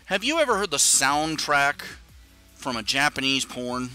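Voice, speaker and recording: A man's voice: mid-range voice, very animated, a little slow, pushed and bright, clean tone, soft background music. A man hosting a live stream, talking in a casual rambling monologue with many stammering restarts and chuckling asides.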